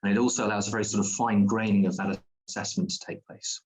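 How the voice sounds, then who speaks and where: Low-voiced man explaining courses. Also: level tone, fast talker, a little mellow, poor audio. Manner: explanatory, hesitant with frequent ums and restarts. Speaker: school staff presenter. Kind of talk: virtual open day presentation.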